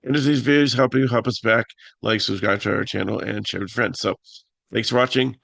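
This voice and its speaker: A man with a raspy voice hosting a casual fantasy picks talk, halting with many fillers and restarts. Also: on the low side, fairly even intonation, fairly bright tone, no echo. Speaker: fantasy sports analyst host.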